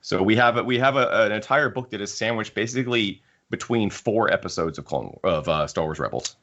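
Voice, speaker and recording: A man hosting a podcast, talking casually about books in a halting way with frequent restarts. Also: fairly low pitch, a bit bright, highs cut off. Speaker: podcast host. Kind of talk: casual discussion of sci-fi books.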